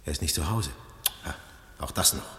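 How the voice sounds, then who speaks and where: An actor playing an excitable young male poet and detective in a German radio play, dropping to a hush and then bursting out loud. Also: very deep voice, normal speed, a little hiss or hum. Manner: swings from hushed intensity to loud outbursts. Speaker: poet turned police detective.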